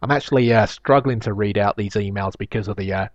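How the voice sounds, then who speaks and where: A man's voice: on the low side, quick pace, no echo, lo-fi sound. A male podcast host musing in a solo monologue and reading listener emails, with a slight lisp, many fillers and restarts. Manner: reflective, rambling, with frequent fillers and restarts.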